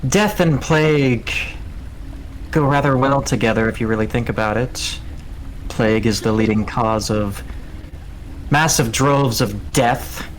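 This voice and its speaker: A man voicing a courtly, self-assured ratman plague cultist who speaks in formal, measured phrases for a tabletop game. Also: mid-range voice, a little hiss or hum, natural voice, poor audio. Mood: self-assured, musing.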